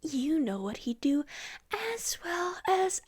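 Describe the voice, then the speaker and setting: A woman's voice: extremely high pitch, big pitch swings, slow, pitched above the performer's own voice, exaggerated voice. Sneering rogue policeman, as a woman reads him. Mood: menacing. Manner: sneering, whining. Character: menacing rogue male policeman, bitter and self-pitying.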